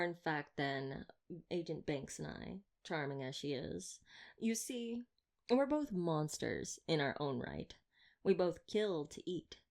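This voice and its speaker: A woman acts out a young female vampire slayer with a low-pitched voice. She is wry and theatrical, talking straight to the listener in an ASMR roleplay. Her manner is chatty and teasing, with a playful menace. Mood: wry, confiding.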